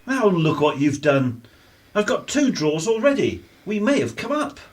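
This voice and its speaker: An actor playing a gruff, easily exasperated English father of the 1950s in a radio play. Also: medium pitch, big pitch swings, measured pace, a little mellow. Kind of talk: British radio play acting.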